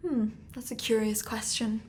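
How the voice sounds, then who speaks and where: An actress in an audio drama playing a calm, guarded supernatural investigator, composed, cryptic and quietly protective. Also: female voice, fairly high pitch, moderate pace, close to an everyday voice. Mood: guarded, wry.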